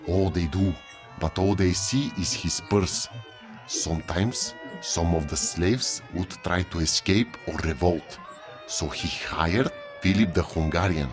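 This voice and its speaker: An actor playing a gossipy tavern keeper who tells stories in a folksy, unhurried way in an audio drama. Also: male voice, sits low. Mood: grave storytelling. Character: gossipy male innkeeper at a moon tavern. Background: music.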